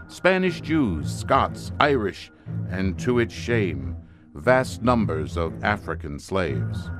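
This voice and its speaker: An actor playing the ominous host of a suspense anthology, narrating in a measured, formal, portentous manner. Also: male voice, medium pitch. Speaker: announcer and narrator.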